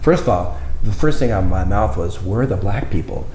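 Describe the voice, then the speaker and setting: A man's voice: on the low side, lively intonation, a little fast, a little mellow. A middle-aged man who grew up in Taiwan and Los Angeles, talking earnestly as a musician guest in an interview, often repeating words. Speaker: guest saxophonist and teacher. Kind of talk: casual interview talk. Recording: echoey room with faint noise.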